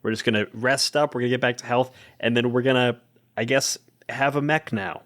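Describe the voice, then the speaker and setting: A man plays a kind-hearted adventurer who is easily rattled. He talks informally and haltingly, in a medium-pitched voice, trying to soothe his companions in a tabletop game. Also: fairly even intonation, a bit bright, natural voice. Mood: reassuring.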